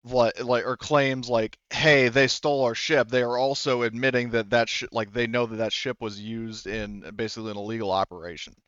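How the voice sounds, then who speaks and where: A man's voice: medium pitch, steady tone, moderate pace, hardly any pauses, fairly bright tone, smooth, no echo. A man explaining his reasoning in a casual tabletop game discussion, often qualifying his points. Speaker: tabletop roleplaying game participant. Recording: slightly dull, lo-fi voice audio.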